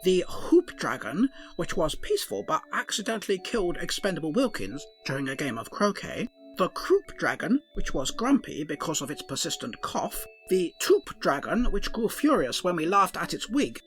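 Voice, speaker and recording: A man's voice: high voice, wildly swooping pitch, moderate pace, faint music behind. A man voicing a fussy, earnest male ship's doctor who speaks formally in an odd, blended put-on accent, for a comic audio drama.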